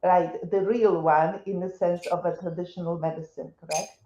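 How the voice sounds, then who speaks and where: A woman's voice: fairly low pitch, steady tone, moderate pace, dark tone, reverberant, dull audio, a little hiss or hum. A woman in her seventies from Poland, speaking with a Polish accent as an interview guest, talking in a hesitant way with many restarts and fillers. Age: 70s. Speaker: interview guest, wellness coach. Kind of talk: casual interview conversation.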